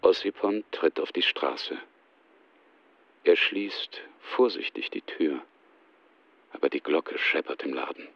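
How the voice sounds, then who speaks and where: An actor playing a terse, detached scene narrator in a radio drama, sober and matter-of-fact, with a dull recorded sound. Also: male voice, a bass voice, slow, pauses often, no room sound, heavily acted. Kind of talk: scripted present-tense narration.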